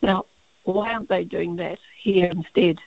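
A woman with a croaky, gravelly voice, a barrister speaking as a guest on a radio interview over the phone, halting with many fillers and restarts. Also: fairly low pitch, fairly even intonation. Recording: tinny phone line.

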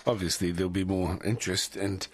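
A man hosts a radio interview in a measured, slightly halting way. His voice sits very low. The sound is close and dry. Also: fairly even intonation, breathy edge, no room sound. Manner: measured, hesitant questioning. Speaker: radio host.

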